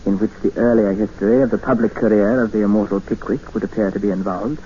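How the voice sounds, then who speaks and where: An actor playing an educated, polite but increasingly desperate captive in a 1950s radio drama. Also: male voice, on the low side, steady tone, quick pace, dark tone, a little hiss or hum, close to an everyday voice. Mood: measured narrating. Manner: polite, formal, earnest. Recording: dull vintage radio recording with music.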